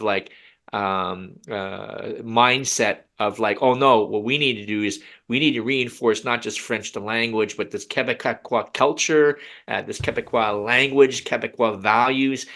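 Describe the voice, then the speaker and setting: Bright-voiced earnest male podcast host. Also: medium pitch. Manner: earnest, halting, with many restarts. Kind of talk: casual discussion.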